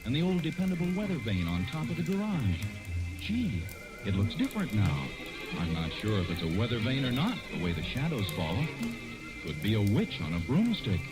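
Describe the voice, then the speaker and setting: A man's voice: fairly low pitch, wildly swooping pitch, normal speed, music in the background. An American man narrating a 1940s radio show as a folksy, scene-setting storyteller. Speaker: announcer and narrator. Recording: old 1940s radio recording.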